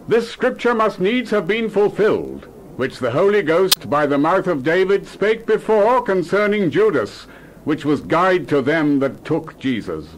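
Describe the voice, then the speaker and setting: A man's voice: a little high, slight background noise, low-quality recording. Man acting a fervent, declamatory preaching apostle. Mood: solemn, urgent. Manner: declamatory, solemn. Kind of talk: dramatized Bible reading.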